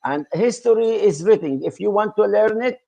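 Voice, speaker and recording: A man's voice: sits high, lively intonation, moderate pace, creaky, warm tone. A man being interviewed as a guest, earnestly explaining history in a lecturing way, with many fillers and restarts. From Israel. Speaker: interview guest, association founder.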